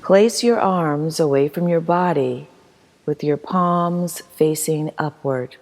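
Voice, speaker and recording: Low female voice doing guided meditation, super slow n soothing, big echoey reverb. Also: a little mellow. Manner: slow, soothing, measured with long pauses. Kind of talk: guided meditation, scripted narration. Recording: long hall-like reverb.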